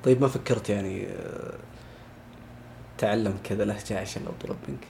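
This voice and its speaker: Gravelly quick podcast host. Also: male voice, fairly low pitch, a little mellow, slight background noise. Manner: chatty, asks long winding questions. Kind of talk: casual interview.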